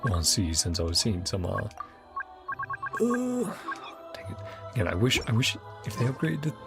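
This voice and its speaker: A man's voice: medium pitch, huge pitch swings, moderate pace, over music. A man doing casual gameplay commentary as a streamer, often muttering to himself as he thinks. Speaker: streamer playing a video game.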